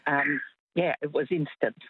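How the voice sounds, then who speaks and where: A woman's voice: sits low, unhurried, noisy background. An Australian woman in her seventies calling in to a radio show, talking with hesitant ums and restarts. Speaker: caller. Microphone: phone line. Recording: tinny phone line, dry.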